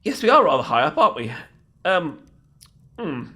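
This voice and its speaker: A man's voice: fairly high pitch, sing-song, normal speed, pushed and bright, breathy edge, voice pushed up, full character voice. A British man playing a bumbling, lovestruck knight in a comedic fantasy audio roleplay, stammering politely and apologetically. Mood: awkward, fretting. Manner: stammering, flustered, apologetic, repeats words.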